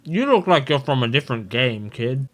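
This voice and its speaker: A man hosts a gameplay reaction video in a casual, informal way. His voice is mid-pitched as he muses aloud, with faint music behind him. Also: very animated, moderate pace. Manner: informal, swearing, musing aloud. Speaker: gaming video host.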